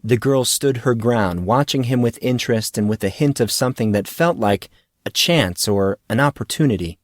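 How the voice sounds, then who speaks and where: A man's voice: medium pitch, moderate pace, no room sound, studio quality. A man reading scripted fiction as an audiobook narrator.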